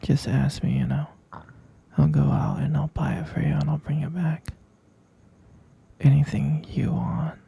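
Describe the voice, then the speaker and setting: Guy playing a clingy obsessive bf, slow husky whisper, super dark and gravelly, coaxing, dry close mic. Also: on the high side, level tone, heavily acted. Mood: sweetly obsessive. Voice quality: soft whispering, coaxing and possessive. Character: obsessive possessive young male captor boyfriend. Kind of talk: whispered ASMR roleplay.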